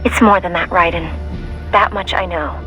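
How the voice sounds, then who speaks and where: An actress playing a young, loyal, idealistic mission support operator in a video game, hesitant yet heartfelt. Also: female voice, lowish voice, normal speed, music in the background, lightly acted. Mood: reassuring.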